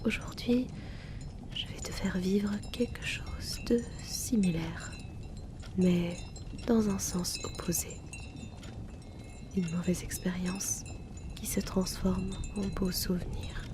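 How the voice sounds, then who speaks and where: A woman playing a soothing, motherly hypnotist and colleague in an ASMR audio roleplay, speaking in a hushed, reassuring manner. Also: on the low side, steady tone, slow pace, long pauses. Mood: calm, brooding. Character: soothing, motherly female hypnotist and bar colleague comforting someone.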